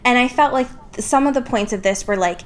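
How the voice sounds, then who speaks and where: High-voiced young woman, chatty co-host. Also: fairly quick, a little hiss or hum. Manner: informal, self-deprecating, wry, swears casually. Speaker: podcast co-host. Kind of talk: casual chatty book discussion.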